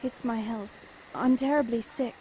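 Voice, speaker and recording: A woman's voice: fairly high pitch, fairly even intonation, a little slow, dark tone, slight background noise, natural voice, rough recording. A woman playing an anxious, pleading sick witch in an amateur audio drama. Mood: sad, pleading.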